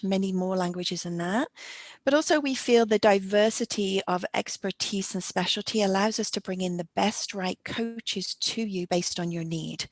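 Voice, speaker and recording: A woman's voice: mid-range voice, no room sound. Businesslike woman presenting, dry close sound. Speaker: webinar host and coaching company founder. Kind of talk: webinar presentation, interview format.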